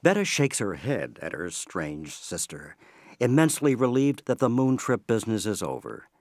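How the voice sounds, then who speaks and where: Male narrator, lowish voice, expressive intonation, measured storytelling, scripted audio drama, dry sound. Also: dry recording.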